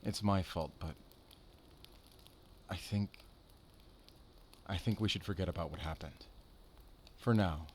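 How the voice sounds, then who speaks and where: A man playing a brooding, tender but guarded werewolf hunter in an intimate audio roleplay, speaking softly and haltingly. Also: low-pitched, a little hiss or hum. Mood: conflicted, resolute. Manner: soft, halting, intimate, earnest delivery.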